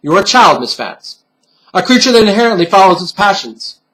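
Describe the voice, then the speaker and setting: A man's voice: high-pitched, sing-song, brisk, a bit bright, voice pushed up, poor audio. A man voicing a stern, patronizing bunny pin who lectures in formal phrases in a storybook reading. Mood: stern lecturing.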